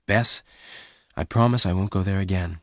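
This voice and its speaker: A determined young cowboy, acted by a male narrator in a western storybook. His voice is fairly low and expressive, gentle and devoted, with a measured, earnest delivery. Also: no echo, clean studio sound, close to an everyday voice. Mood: calm, firm.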